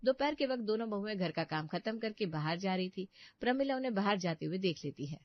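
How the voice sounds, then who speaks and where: A woman's voice: medium pitch, animated, fairly quick, dull audio. A woman giving scripted storytelling narration for a family cartoon.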